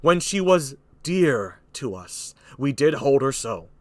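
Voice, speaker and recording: A man playing a bitter, wrathful old king in a stage tragedy, declaiming slowly and emphatically with pauses. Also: medium pitch, very animated, no echo.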